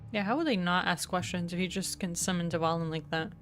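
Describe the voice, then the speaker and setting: A woman's voice: fairly low pitch, fairly quick, music in the background. A woman streamer giving casual, offhand, joking commentary on a game.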